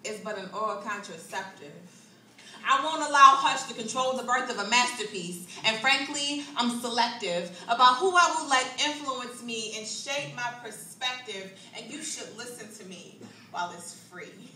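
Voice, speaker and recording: A woman performing a spoken word poem live on a club stage, rhythmic and building from hushed lines to loud declamation. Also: on the high side, moderate pace. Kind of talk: slam poetry performance. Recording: echoey club recorded from far off.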